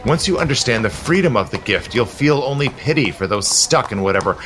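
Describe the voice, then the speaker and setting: An actor playing a suave, zealous immortal gentleman in a period audio drama, with a smooth, persuasive, formal manner. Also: mid-range voice, animated, fairly quick, soft background music, natural voice. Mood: impassioned. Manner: smooth, persuasive, theatrical, genteel formal phrasing.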